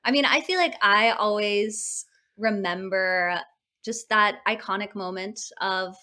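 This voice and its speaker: A woman's voice: mid-range voice, a little slow, sharp tone. A woman who co-hosts a podcast, chatting as a guest with gushing enthusiasm. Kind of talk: casual chat.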